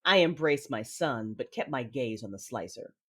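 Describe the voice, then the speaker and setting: Woman acting a husky, ruthless Sith mother. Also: very deep voice, animated, a bit bright, heavily acted. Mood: cool, commanding. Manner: measured, menacing, controlled. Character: cold, ruthless Sith mother, commanding and calculating narrator. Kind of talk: first-person audio drama narration with dialogue. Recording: music under the voice.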